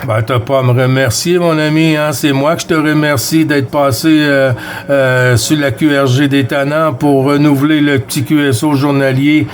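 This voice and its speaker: A man with a Quebec French accent chats casually as a CB radio operator, speaking in short phrases with drawn-out hesitations. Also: on the high side, fairly even intonation, slight background noise. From Quebec, Canada. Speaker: CB radio operator running a round-table contact. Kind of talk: casual amateur radio chat.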